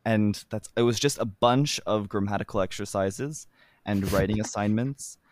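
A man's voice: lowish voice, normal speed, no echo. Casting: young Canadian guy, wry rambling podcast co-host, dry close mic. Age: young adult. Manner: rambling, wry, lots of likes and ums. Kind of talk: casual chat.

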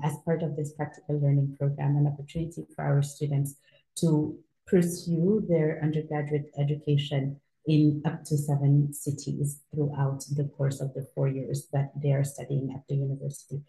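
A woman from Senegal, an interview guest, explaining her university in a measured way with frequent fillers. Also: sits low, warm tone. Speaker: university outreach director, guest. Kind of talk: interview answers. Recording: echoey room.

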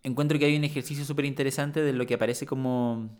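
A man speaking as a poet guest in a reflective conversation, thoughtful and halting with many restarts. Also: medium pitch, steady tone, darkish tone.

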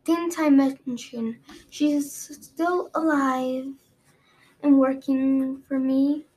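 A woman's voice: sits high, steady tone, darkish tone, voice pushed up, rough recording. A woman voicing a haughty, cruel dragon queen who speaks with cheerful malice. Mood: gloating. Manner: cheerfully malicious, drawn-out, imperious. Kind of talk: audiobook character reading.

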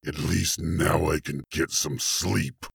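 A man voicing a huge, weary, exasperated dragon with a gravelly, strained voice and formal, labored delivery in a fantasy audiobook. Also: rumbling low, fairly even intonation, slow, no room sound, studio quality, voice pushed down, exaggerated voice. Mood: weary, stern.

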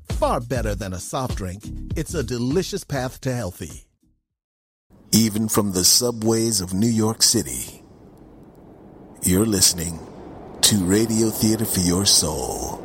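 A man's voice: on the low side, sing-song, a little slow. A man delivering a promotional radio announcement as a sponsor announcer. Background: music.

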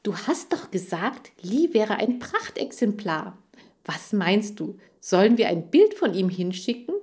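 A woman's voice: mid-range voice, big pitch swings, a little slow, a little mellow, pitched above the performer's own voice. A woman voicing a cheerful, lightly teasing young girl in a children's story. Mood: chatty. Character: cheerful young girl Kira who keeps hamsters.

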